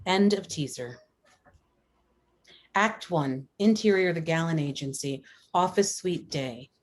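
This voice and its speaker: Low-pitched woman reading screenplay directions. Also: takes it slowly. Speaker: reader of stage directions. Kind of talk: scripted screenplay narration.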